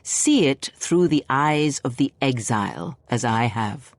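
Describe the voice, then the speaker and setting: An older woman playing a bitter, contemptuous old Sith master in a video game, speaking in a theatrical, deliberate, preaching way. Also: very deep voice, expressive, no room sound, full character voice. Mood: scornful, intense. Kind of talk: dramatic video game monologue. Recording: music behind. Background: music.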